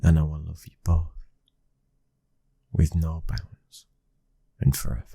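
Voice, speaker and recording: A British man acting a protective, devoted young mafia husband in an intimate ASMR boyfriend roleplay, his breathy voice slipping into whispers. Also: completely flat delivery, takes it slowly, dark tone, no room sound, heavily acted. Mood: tender, devoted. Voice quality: very deep, breathy, husky.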